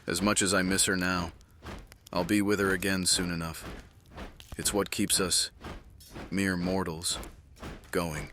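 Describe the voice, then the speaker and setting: Casting: man as weary army commander, very low voice, measured, earnest, dryly wry; fantasy drama, some background noise. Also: flat delivery. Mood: earnest, wistful. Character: world-weary mortal military commander, devoted widowed father. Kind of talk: fantasy audio drama acting.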